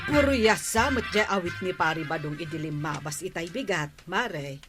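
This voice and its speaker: An actress playing an inquisitive, chatty village neighbour in an Ilocano radio comedy drama, with an Ilocano accent. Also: female voice, lowish voice, lively intonation, moderate pace, loud music behind the voice, natural voice.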